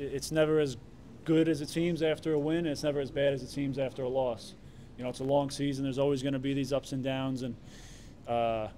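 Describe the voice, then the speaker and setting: A man in his thirties, a goalkeeper answering reporters' questions at a press conference in a relaxed way, with many fillers and restarts. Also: medium pitch, a little hiss or hum. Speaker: soccer goalkeeper. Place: stadium press room.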